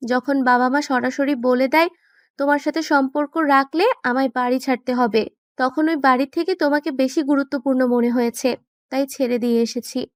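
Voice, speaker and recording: A woman's voice: high-pitched, steady tone, moderate pace, dry recording, clean studio sound, lightly acted. A woman playing a headstrong, emotional young woman in love in an audio story. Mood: hurt, defiant. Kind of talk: audio story character acting.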